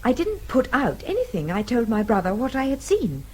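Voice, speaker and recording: An actress playing a spirited, sceptical young Englishwoman in a radio drama, speaking with a polite, crisp English accent. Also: a little high, huge pitch swings, normal speed, mellow, full character voice. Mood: cool, sceptical.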